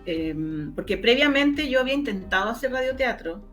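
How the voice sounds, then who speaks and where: A woman's voice: fairly high pitch, lively intonation, normal speed, a bit bright. Chilean woman telling stories over music. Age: middle-aged. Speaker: interview guest, radio drama maker and journalist. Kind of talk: conversational storytelling in an interview.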